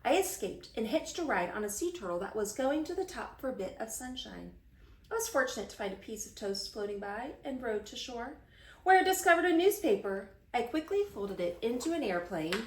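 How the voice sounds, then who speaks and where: A woman's voice: fairly high pitch, animated, moderate pace. A woman voicing an old grandpa who tells tall adventure tales with dramatic emphasis in a children's storybook. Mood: dramatic storytelling. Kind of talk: children's picture book read-aloud. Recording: roomy, echoing sound.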